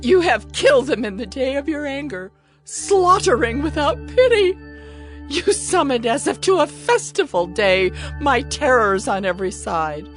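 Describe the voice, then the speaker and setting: A woman's voice: high-pitched, sing-song, unhurried, soft background music. A woman performing a dramatized scripture lament, anguished and pleading. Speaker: narrator of a lament.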